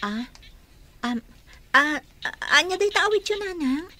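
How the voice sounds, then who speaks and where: A meek, caring young wife. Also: female voice, high voice, lively intonation, a little slow, sharp tone, full character voice. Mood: surprised, emotional. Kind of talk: radio drama acting.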